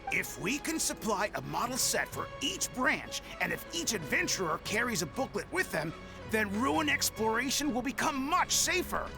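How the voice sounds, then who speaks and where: A woman voicing a brisk, earnest adventurers' guild official in a game, articulate and businesslike. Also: mid-range voice, vocal fry, poor audio.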